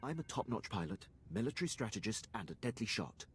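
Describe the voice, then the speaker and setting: A man's voice: on the low side, sing-song, fast talker, a little hiss or hum. An actor playing an earnest, loyal Imperial officer in a video game, formal and precise in his deference. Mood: proud, devoted. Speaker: game character, military officer.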